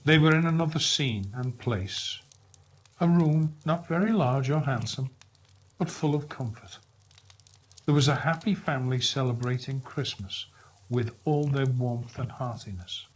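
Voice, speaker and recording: A man reading a classic story as narrator, in a measured, expressive way. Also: mid-range voice, slightly rough, rough recording.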